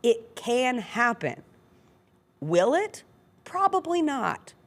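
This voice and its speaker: A woman's voice: a little high, big pitch swings, takes it slowly. A woman hosting a sports talk show, giving casual, opinionated and analytical commentary with attention to detail.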